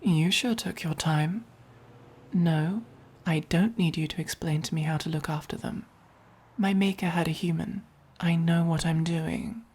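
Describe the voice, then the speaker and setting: A haughty, possessive vampire with a caring streak, played by a woman in an ASMR roleplay. The English-accented voice is mellow and fairly low, soft and breathy. Words come slowly and deliberately, with quiet command. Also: full character voice. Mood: curt, commanding. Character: haughty, possessive but caring vampire buying a human pet.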